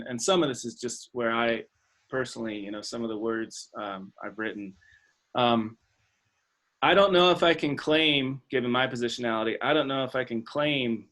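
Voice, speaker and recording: A man hosting an online webinar discussion, speaking in a thoughtful, tentative and halting academic manner. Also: medium pitch, a bit bright. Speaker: webinar host and facilitator. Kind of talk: hesitant, thoughtful facilitated discussion. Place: online video call.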